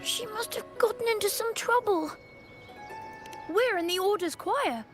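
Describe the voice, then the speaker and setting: Little girl chorister, very high pitch, earnest, chatty, video game, loud background music. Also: a little slow.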